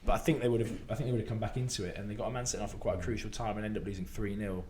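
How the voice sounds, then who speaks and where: A man with a hoarse voice, chatting casually as a podcast co-host and laughing at himself. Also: on the low side, level tone, rapid-fire, darkish tone. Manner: chatty, self-mocking, laughs easily. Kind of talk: casual chat.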